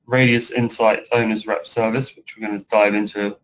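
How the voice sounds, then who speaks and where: A British man of around thirty giving a measured webinar presentation as a guest speaker. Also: lowish voice, flat delivery, a little hiss or hum. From United Kingdom. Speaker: guest speaker, project director.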